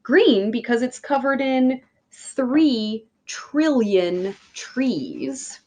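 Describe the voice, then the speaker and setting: Female children's read-aloud voice, unhurried, very expressive intonation, emphatic teacher delivery. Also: fairly high pitch. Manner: deliberate, emphatic phrasing addressed to young listeners. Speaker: teacher reading a picture book aloud.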